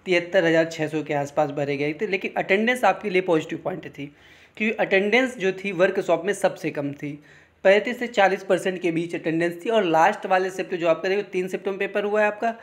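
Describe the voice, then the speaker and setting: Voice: medium pitch, fairly quick. An exam-prep coach, giving an informal explainer in an instructional way, repeating points and often adding short check-in tags.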